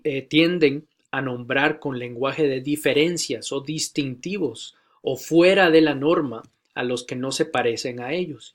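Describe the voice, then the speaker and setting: A man giving a recorded lecture to church leaders, measured and deliberate, with frequent hesitations and repeated key phrases. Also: medium pitch.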